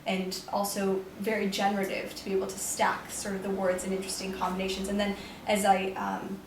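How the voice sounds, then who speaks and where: A woman's voice: mid-range voice, monotone, a little fast. A young woman poet, raised in New York with Romanian as her first language, answering interview questions in a thoughtful, reflective way with frequent hedges and restarts. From New York, United States. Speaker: poet, prize winner. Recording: echoey room, voice from far off, faint noise.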